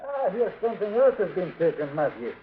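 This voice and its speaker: Fussy, higher-voiced frontier storekeeper. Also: male voice, very animated, a little fast, narrow, tinny audio. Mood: fretful, puzzled. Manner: fussy, exacting, fretful. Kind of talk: old-time radio western acting. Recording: thin, noisy old radio recording.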